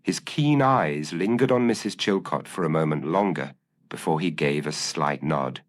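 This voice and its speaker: A man's voice: extremely low pitch, normal speed. A man reading scripted narration of a gothic mystery story.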